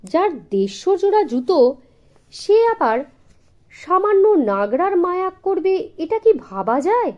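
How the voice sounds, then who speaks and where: Sly, teasing manservant, put on by a woman, high-pitched. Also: sing-song, slow, darkish tone, full character voice. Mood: mild scorn. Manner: teasing, mockingly surprised. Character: sly, teasing manservant, man, mildly scornful. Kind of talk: children's audio story dialogue.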